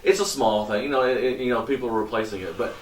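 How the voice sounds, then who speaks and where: A man in casual convention chat, grumbling his complaints in a low-key way. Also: quick pace, slight background noise. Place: convention hall. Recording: echoey hall, mic far off.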